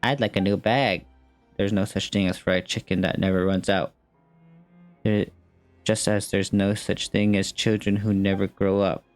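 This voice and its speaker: Male gaming host, lowish voice, informal and slangy, casual commentary over faint game music. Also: flat delivery, normal speed. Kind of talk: casual gameplay commentary, reading game text aloud.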